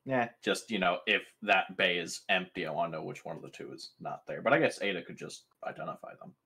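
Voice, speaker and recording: A man's voice: low-pitched, animated, quick pace, vocal fry, bright, forceful tone, breathy edge. A man casually asking questions as a player in a tabletop roleplaying game.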